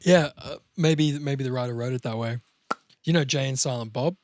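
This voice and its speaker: A man chatting casually as a podcast co-host and actor, riffing in an animated, sweary way. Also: mid-range voice, moderate pace, no room sound, studio quality.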